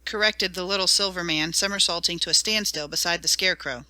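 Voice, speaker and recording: A woman narrating a storybook audiobook in a clear, even manner. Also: on the low side, a little fast, no echo.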